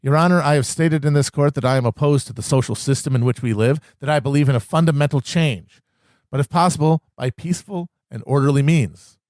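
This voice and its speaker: A man's voice: medium pitch, fairly quick, darkish tone, no room sound, studio quality. A man giving a solemn, oratorical reading of a historical political speech.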